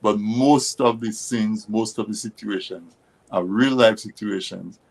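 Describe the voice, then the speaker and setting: Low-voiced man hosting an interview. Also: a little slow, vocal fry. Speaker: interview host. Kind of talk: conversational interview commentary.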